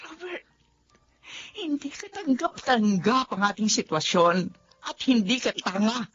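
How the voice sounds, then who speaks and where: A woman's voice: on the high side, big pitch swings, unhurried, fairly bright tone, breathy edge, exaggerated voice. A woman playing a mother hiding a secret love affair, defensive and guarded, also narrating in first person in a slightly muffled radio drama.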